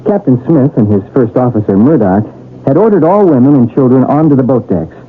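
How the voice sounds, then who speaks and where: A man's voice: mid-range voice, expressive, fairly quick, a little hiss or hum, close to an everyday voice. An actor playing a polite, deferential man with a formal, scholarly delivery in a 1970s radio mystery. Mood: formal, obliging. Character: polite, deferential man reading his historical paper. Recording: narrow, tinny old radio recording.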